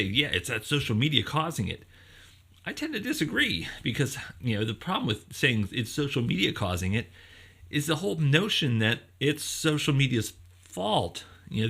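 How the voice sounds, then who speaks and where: Male lecturer, fairly low and noticeably husky, explains ideas conversationally, thinking aloud and questioning as he goes. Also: fairly bright tone. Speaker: professor lecturer.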